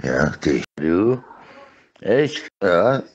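A man's voice: sits low, big pitch swings, very slow. A middle-aged man rambling insistently and repetitively in an explicit, suggestive monologue, speaking close to a phone or webcam. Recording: dull lo-fi clips.

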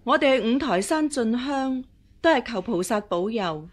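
An actress playing a scheming, regal empress dowager with a measured, dismissive manner, in Hong Kong Cantonese radio drama. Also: female voice, a little high, expressive. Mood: dismissive, composed. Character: haughty, scheming empress dowager, mother of a princess.

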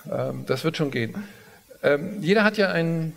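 Older male host, onstage interview, friendly short questions, voice sits a bit high. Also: lively intonation, moderate pace, creaky, a bit bright. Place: large conference hall stage.

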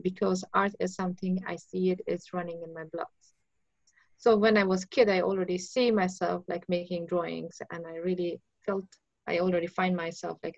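A woman's voice: lowish voice, vocal fry, no echo, dull audio. A young woman from Afghanistan, an artist, speaking in a video-call interview with plain, searching phrasing. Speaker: artist guest.

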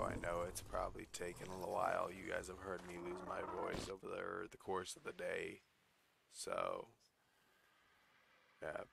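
Hoarse male gaming commentator, deep gravelly tone, noisy home recording. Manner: excitable, swearing when startled. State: losing his voice, hoarse. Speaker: gaming YouTuber. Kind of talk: casual gameplay commentary.

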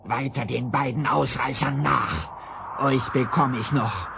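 An actor playing an old evil sorcerer in a children's radio play, blustering and vengeful, with theatrical exclamations and gloating. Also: male voice, mid-range voice, creaky. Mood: menacing. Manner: theatrical, exclaiming, drawn-out cackling. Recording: noisy vintage children's record.

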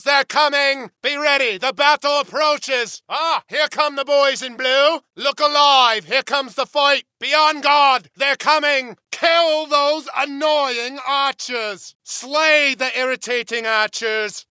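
An actor playing a bold, spirited medieval man-at-arms in a video game, with a forceful, declamatory soldierly delivery. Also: extremely high pitch, no room sound, exaggerated voice. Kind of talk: video game combat voice lines.

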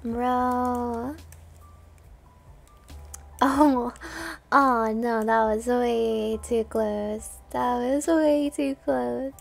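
A woman's voice: fairly high pitch, steady tone, extremely slow, creaky, long pauses, faint music behind. A woman streamer giving casual live gaming commentary, muttering reactions to herself and chatting with viewers.

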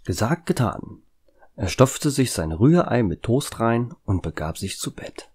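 A man's voice: mid-range voice, very animated, a little slow, a little mellow, slightly rough. A man narrating a scripted story as its storyteller. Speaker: narrator and author.

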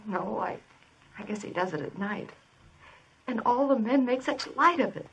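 An actress playing an anxious, earnest young woman in a vintage radio drama, her voice husky and breathy. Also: on the high side, lively intonation, normal speed, heavily acted. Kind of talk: 1950s radio drama acting. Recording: distant vintage radio recording.

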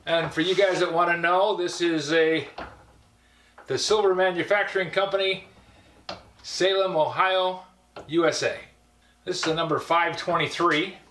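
A man's voice: sits high, steady tone, measured pace, pauses often, fairly bright tone, slight background noise. An older man giving a casual, folksy tour of his workshop, rambling through anecdotes with dry asides. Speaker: woodworker giving a shop tour. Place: cluttered basement workshop, indoors. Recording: echoey workshop room.

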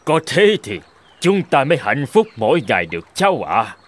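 Lively, declaiming cartoon animal orator. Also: male voice, mid-range voice, normal speed. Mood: consoling. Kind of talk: cartoon voice acting. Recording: music bed behind the voice.

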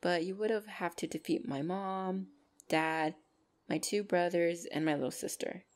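A woman's voice: on the low side, steady tone, measured pace, darkish tone, close to an everyday voice. A woman playing a loving, bashful and protective orc girlfriend in an intimate ASMR roleplay, speaking tenderly and hesitantly. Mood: affectionate, content. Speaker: voice actor in audio roleplay.

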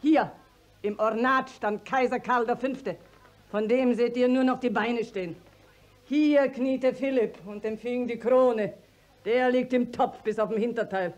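A woman's voice: on the high side, steady tone, unhurried, darkish tone, natural voice. An actress in a 1950s radio play voicing an indignant, long-winded village widow who declaims her complaint insistently and in relished detail. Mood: indignant, elaborate.

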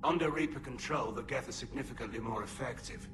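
An actor voicing a male alien admiral who speaks in an urgent, forceful way. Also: medium pitch, fast talker, music in the background, natural voice.

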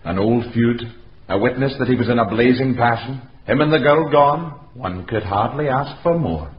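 An actor playing a confident Scottish police inspector in a radio drama, blunt and folksy. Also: male voice, mid-range voice, normal speed, natural voice. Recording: echoey, dull, hissy radio recording.

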